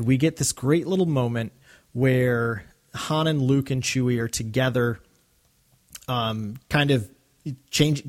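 A man's voice: medium pitch, a little mellow. A man co-hosting a podcast, chatting casually in an earnest, reflective way and now and then voicing quotes. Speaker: podcast co-host. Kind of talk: casual discussion of a radio drama.

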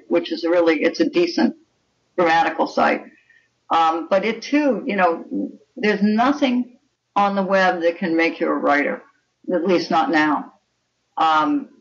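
A woman's voice: lowish voice, vocal fry. An older woman speaking as an interview guest, giving opinionated, emphatic answers over slightly muffled, distant audio. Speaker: guest writer and anthology editor. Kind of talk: interview conversation.

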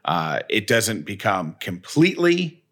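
A man's voice: fairly low pitch, big pitch swings, measured pace, a bit bright. A middle-aged man co-hosting a radio show, moving between casual banter and host-read material.

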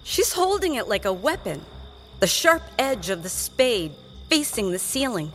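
A woman's voice: fairly high pitch, big pitch swings, unhurried, soft background music. A woman narrating a children's drama in a scripted, suspenseful and emphatic storytelling style.

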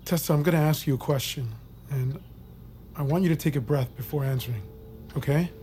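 An actor playing a military psychiatrist in an audio drama, calm and probing, with a patient, clinical manner. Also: male voice, on the high side, fairly quick, faint music behind, lightly acted. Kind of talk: naturalistic audio drama acting.

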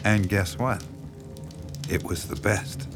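A man's voice: fairly low pitch, takes it slowly, music in the background. A man playing a self-assured, subtly sinister horror writer in an audio drama, talking in a folksy, knowing, insinuating way. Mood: insinuating, flattering. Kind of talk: naturalistic audio drama dialogue.

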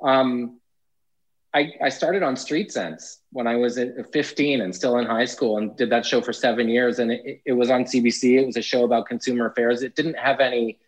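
A Canadian man with a naturally high voice, chatting playfully in an interview about acting and impressions. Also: steady tone, poor audio. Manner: playful, self-deprecating, quick asides. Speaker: actor and impressionist guest. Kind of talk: casual interview chat.

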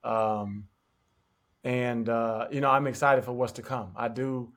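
A man hosting a tennis podcast, talking in a relaxed, conversational way. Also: medium pitch, measured pace. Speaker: podcast host. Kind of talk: podcast interview.

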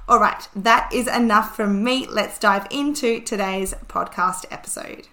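A woman hosting a podcast, her voice still hoarse from recently losing it, chatting in an upbeat, enthusiastic way. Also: mid-range voice, unhurried, reverberant. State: hoarse, recovering from lost voice. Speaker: podcast host. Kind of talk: casual podcast chat.

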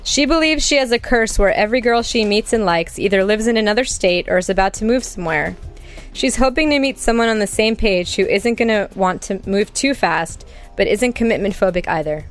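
A woman's voice: mid-range voice, fairly quick, soft background music. A woman hosting a podcast dating game, reading contestant bios in a scripted way. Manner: reads contestant bios smoothly. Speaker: podcast host.

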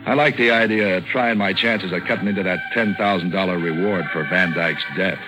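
An actor with an American accent playing a tough, cynical private eye in a 1940s radio drama, slangy and deadpan. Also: male voice, sits low, brisk, lightly acted. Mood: weary, wry. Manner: hard-boiled, slangy, deadpan. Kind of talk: 1940s radio noir acting and narration. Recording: vintage 1940s radio broadcast, music behind.

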